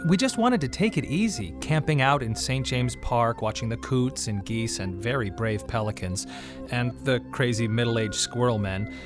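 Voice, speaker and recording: An actor playing a grieving father, speaking in a measured, wry radio monologue with pointed pauses. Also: medium pitch, expressive, music in the background, natural voice. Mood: wry, weary. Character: grieving bereaved father, wry, tense and plain-spoken. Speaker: narrator and lead of a monodrama. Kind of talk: dramatic first-person radio monologue.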